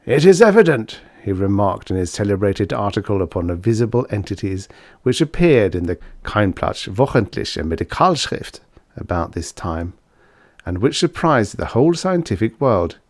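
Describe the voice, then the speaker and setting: A man narrates vintage fiction in a fairly low voice that moves freely up and down. His delivery is measured, with expressive emphasis on key words. The sound is close and dry, without room echo. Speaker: audiobook narrator.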